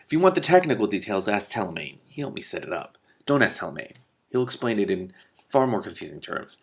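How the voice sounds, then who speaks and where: A man reading the part of a calm, wry king and father in a storybook. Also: lowish voice, fast talker, vocal fry, husky, heavily acted. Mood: explanatory, wry.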